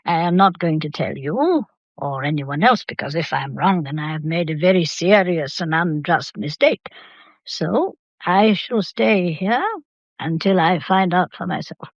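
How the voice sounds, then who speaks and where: A woman playing a stubborn, inquisitive old English matriarch in an audio drama, speaking formally and deliberately. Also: on the low side, dry recording, muffled recording. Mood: stubborn, dismissive. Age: older.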